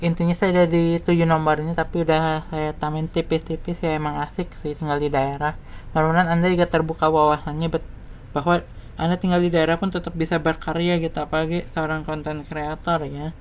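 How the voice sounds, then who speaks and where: A man's voice: a little high, flat delivery, a little fast, a little hiss or hum. A man from West Java giving a casual, rambling, informal personal monologue as a content creator. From West Java, Indonesia.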